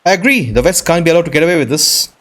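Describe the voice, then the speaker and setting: A man's voice: on the high side, animated, rapid-fire, a bit bright. A man doing casual game commentary, thinking aloud in an informal, reactive way. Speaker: gaming video host playing and commenting. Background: game music.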